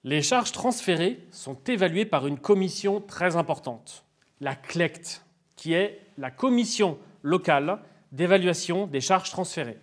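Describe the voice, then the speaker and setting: A man's voice: high-pitched, lively intonation, measured pace. A man giving formal, didactic explanations as an expert in an interview, in structured, prepared sentences.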